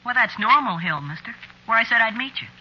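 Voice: medium pitch, animated, a little fast, close to an everyday voice. A young boy played by an actor in a vintage radio drama, cheerful and familiar with strangers. The recording is muffled and very noisy. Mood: eager, familiar. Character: young boy, cheerful eerie street kid named Jeffrey. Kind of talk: 1940s radio drama acting.

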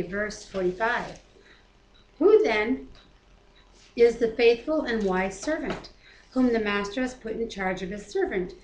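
Higher-voiced North American woman, roomy sound. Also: darkish tone. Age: middle-aged. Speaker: co-host of a home Bible reading. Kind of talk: reading scripture aloud with casual commentary. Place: living room, indoors. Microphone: webcam at about arm's length. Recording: roomy echo, noisy home webcam audio.

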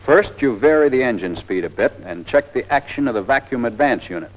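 A man's voice: medium pitch, expressive, a little fast. An American man narrating a scripted 1940s training film in a plain-spoken, methodical, folksy instructor's manner, on an old film soundtrack. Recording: dull, band-limited 1940s film soundtrack.

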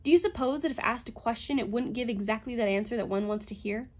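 A woman's voice: a little high, fast, a little mellow, lightly acted. Woman acting a cutting, sardonic female scientist. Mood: sarcastic. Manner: sarcastic, cutting, imperious. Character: sardonic, bitter woman robot psychologist, brittle and commanding.

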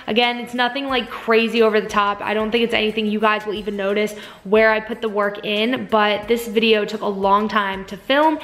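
A young woman in her twenties vlogging casually to her camera at home, a little hoarse from a cold, chatty with lots of "like" and "you guys". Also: mid-range voice, fairly bright tone. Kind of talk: casual vlog chat to camera. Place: living room at home, also in a car. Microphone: camera at arm's length.

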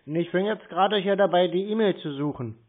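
A man in a casual phone conversation, muttering along slowly as if fumbling with a task. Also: sits high. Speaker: scambaiter taking a scam call. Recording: narrow phone-like sound, faint clicky noise.

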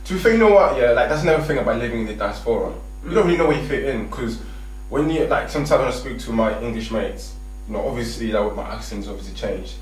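A man's voice: mid-range voice, animated, fast. A young man from Zimbabwe, a guest in a small studio, rambling casually with many fillers and acted-out quotes. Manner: rambling, lots of fillers, acts out quoted exchanges. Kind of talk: casual chat. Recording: echoey studio from far off, faint noise.

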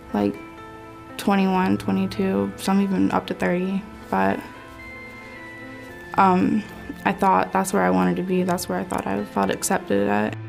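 A young woman speaking in a halting, soft-spoken way with many fillers, giving a personal testimony. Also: medium pitch, vocal fry. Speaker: interviewee in a testimony video. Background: soft background music.

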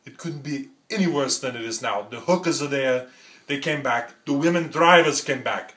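A man's voice: medium pitch, moderate pace, fairly bright tone, natural voice. A man impersonating a boastful Austrian action-star politician, rambling emphatically in a thick accent. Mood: disdainful. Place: small room at home. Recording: roomy home recording.

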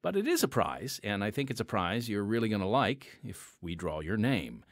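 A man's voice: lowish voice, sing-song, normal speed, no room sound. A man hosting a podcast with scripted, conversational narration, addressing listeners directly. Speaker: podcast host.

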